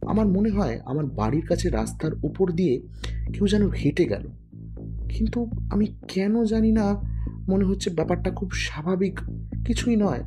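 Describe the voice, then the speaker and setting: A man playing an uneasy, hesitant middle-aged gentleman in an audio drama, speaking in halting phrases. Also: sits high, lively intonation. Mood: uneasy, confiding. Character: hesitant, uneasy older gentleman who fears being followed. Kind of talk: audio drama character acting. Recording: music playing under the voice.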